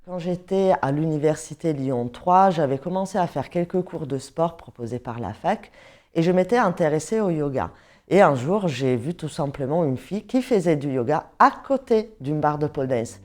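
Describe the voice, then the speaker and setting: A woman's voice: sits low, expressive, moderate pace, a little mellow. A woman in her thirties from Italy, speaking with an Italian accent as a teacher sharing her own story in an interview. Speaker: pole dance teacher and studio manager. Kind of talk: interview testimonial, personal storytelling. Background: music bed.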